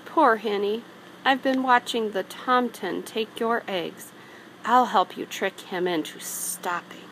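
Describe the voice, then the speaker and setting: A woman voicing a kindly little male hedgehog in a storybook, gentle and sympathetic in manner. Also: medium pitch, slow, slight background noise, rough recording.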